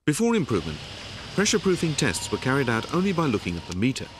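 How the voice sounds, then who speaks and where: A man giving scripted, measured narration for a factory training film, recorded as a studio voice-over. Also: mid-range voice, wildly swooping pitch, background noise. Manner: measured, explanatory. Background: background music.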